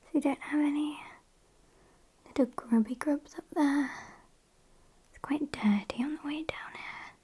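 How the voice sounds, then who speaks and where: A British woman voicing a sassy, morbid female vampire in a soft, breathy whisper for an ASMR roleplay. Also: sits high. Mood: morbid, fussy. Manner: slow, hushed, matter-of-fact, mildly scornful. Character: sassy, morbid female vampire kidnapper tending her victim. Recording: hissy close-up whisper recording.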